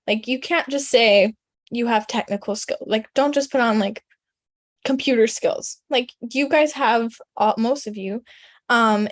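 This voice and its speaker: A young woman whose first language is German, giving a casual, chatty resume webinar full of "like" and "um" and frequent throat clearing. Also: on the high side, dry recording. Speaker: career coach presenting a resume webinar.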